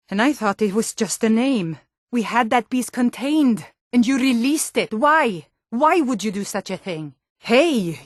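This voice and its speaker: A woman's voice: medium pitch, no echo, lightly acted. Woman playing a blunt, tough Nord guardswoman, dry recording. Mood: indignant, then conspiratorial. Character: tough, wary Nord female city guard, plain-spoken. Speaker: video game voice actor. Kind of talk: scripted fantasy game dialogue in short standalone lines.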